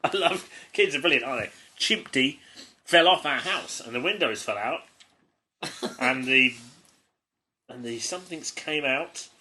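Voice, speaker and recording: A man's voice: mid-range voice, animated, measured pace, long pauses, bright, forceful tone. An English man who co-hosts a comedy podcast, reading a child's stories aloud in an amused, stumbling way with asides. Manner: giggly, often stumbles and breaks off to comment. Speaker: podcast co-host.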